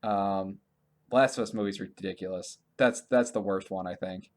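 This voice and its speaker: A man co-hosting a podcast in casual conversation, informal and thinking out loud as he talks. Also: low voice, fairly even intonation, moderate pace. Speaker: podcast co-host. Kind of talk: casual podcast chat about games and films.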